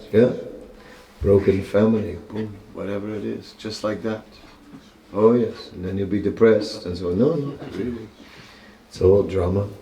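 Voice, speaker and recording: An older man speaks slowly into a stand mic in a small temple room, his lowish, mellow voice carrying a roomy echo. He talks in a conversational, wry way and often chuckles at his own jokes. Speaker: monk lecturer. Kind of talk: relaxed scripture class. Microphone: stand mic close in front.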